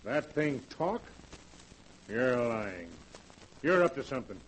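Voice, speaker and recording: An actor playing a blunt, suspicious country sheriff with a brusque, folksy way of talking, in 1940s radio-drama style. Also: male voice, mid-range voice, big pitch swings, takes it slowly, slight background noise, rough recording. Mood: gruff. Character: gruff rural male sheriff, blunt and suspicious.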